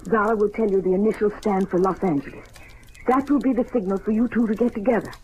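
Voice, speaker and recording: A desperate young woman with secrets, played by an actress in an old radio mystery. She talks fast and pleads urgently, over faint recording noise. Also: mid-range voice, fairly even intonation, darkish tone, natural voice, poor audio. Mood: hurried, secretive.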